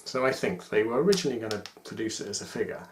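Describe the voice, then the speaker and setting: A man's voice: fairly low pitch, lively intonation, rapid-fire. A man in his forties chatting casually to camera as he presents collectible figures from a room at home. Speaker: toy collector presenting a video. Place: small domestic room indoors. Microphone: camera microphone at about arm's length. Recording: echoey room with faint noise.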